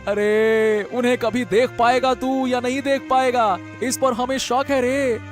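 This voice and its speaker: An excitable rustic elder brother in a storybook drama, his voice pitched extremely high. He laments in a plaintive, folksy way over soft music. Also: measured pace. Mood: plaintive alarm. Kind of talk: melodramatic storybook audio drama.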